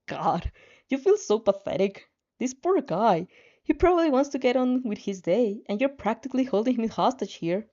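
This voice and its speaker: A woman reading fan fiction aloud in an animated way, now and then stumbling and rereading a phrase, on a slightly dull recording. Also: on the high side, normal speed. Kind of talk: animated story reading with asides.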